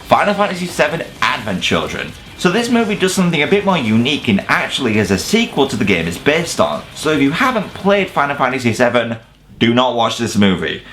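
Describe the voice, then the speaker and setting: A man's voice: mid-range voice, fairly bright tone, slight background noise. A man presenting a scripted countdown review as a video host, in an opinionated, casual, sweary manner. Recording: music playing behind the voice.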